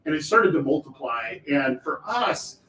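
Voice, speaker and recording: A man hosting a casual livestream chat on a film set, excited and chatty, with a loud voice he tries to keep down. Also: fairly high pitch, unhurried. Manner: excited, chatty, keeps voice down for filming. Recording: echoey, distant mic with faint background noise.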